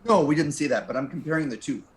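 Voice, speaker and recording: A man chatting casually as a panel guest, with halting, hesitant phrasing. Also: a little high. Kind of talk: casual chat. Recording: echoey room with faint noise.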